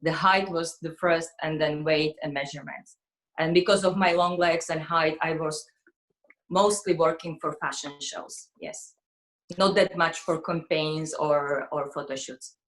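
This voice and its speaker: A woman's voice: deep voice, fairly even intonation, unhurried, fairly bright tone, poor audio. A woman in her forties from Slovakia with a Slovak accent, speaking conversationally as an interview guest. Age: 40s. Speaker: interview guest, fashion model.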